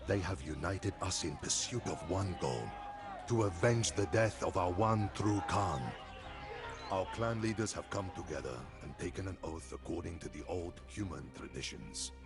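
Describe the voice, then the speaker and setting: A man's voice: sits low, close to an everyday voice. A man playing a grave, vengeful khan who solemnly narrates the story of his people in a video game. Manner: solemn, ominous, deliberate. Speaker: cutscene narrator. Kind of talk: dramatic scripted storytelling narration. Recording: music behind.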